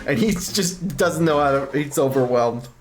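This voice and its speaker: A man's voice: on the high side, moderate pace. A man doing casual game commentary as a streamer, chatting over game music. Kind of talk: casual game commentary with read-aloud dialogue. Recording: music under the voice.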